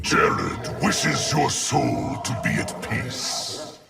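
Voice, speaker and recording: A man playing a grave video game construct made of many souls, speaking in slow, solemn, gravelly declarations. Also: mid-range voice, full character voice. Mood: grave, menacing. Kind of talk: video game character dialogue. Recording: loud music under the voice.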